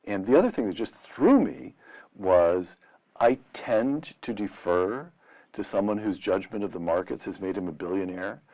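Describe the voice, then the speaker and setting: A middle-aged man interviewing in a deliberate, rhetorical and emphatic way, on a lo-fi vintage radio style recording. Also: on the low side, lively intonation. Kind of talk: long-form interview questioning. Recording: dull, lo-fi vintage radio sound.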